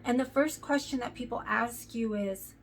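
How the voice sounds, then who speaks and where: A young adult woman with a sore throat and a raspy voice, talking earnestly to camera in a casual vlog with lots of fillers. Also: on the high side, moderate pace, a little hiss or hum. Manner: earnest, hesitant, many fillers. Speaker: vlogger.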